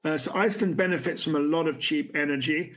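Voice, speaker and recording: Halting CEO fielding investor questions. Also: male voice, on the high side, a bit bright. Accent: non-American. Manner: halting, many restarts and fillers. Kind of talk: conference Q&A. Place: room with a city view at night.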